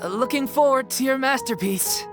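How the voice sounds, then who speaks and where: A woman's voice: sits high, normal speed, music in the background. A woman voice acting a friendly, easygoing young theater troupe member in a video game, with a casual, encouraging manner. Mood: upbeat, coaxing.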